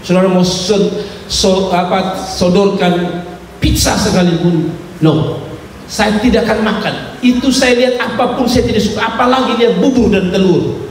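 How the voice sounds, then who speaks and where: A man preaches in a high, lively voice, playing out the exchanges of his own story. He swings from shouts down to quiet asides. He is heard from a distance in a large, reverberant church hall with faint ambience behind him. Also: moderate pace, slight background noise. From Indonesia. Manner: animated storytelling, acting out dialogue, swings between shouts and low asides. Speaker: pastor. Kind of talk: sermon with personal testimony.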